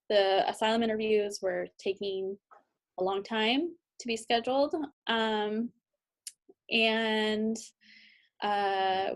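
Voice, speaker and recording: A woman with an American accent presenting training slides as a lawyer, instructional and informal, with frequent hesitant pauses and ums. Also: fairly high pitch. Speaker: immigration lawyer giving training.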